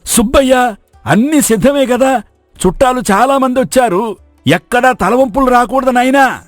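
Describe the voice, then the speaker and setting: A man's voice: very high-pitched, normal speed, no room sound, studio quality, faint music behind. A man playing a fussy, respectful village wedding host in an acted moral story. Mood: anxious, deferential. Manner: fussy, deferential.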